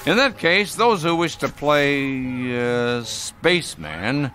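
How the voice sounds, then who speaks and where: An actor playing a kindly old man with secret magic tricks, courtly and gentle in a radio drama. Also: medium pitch, expressive, slow pace, slight background noise. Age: older.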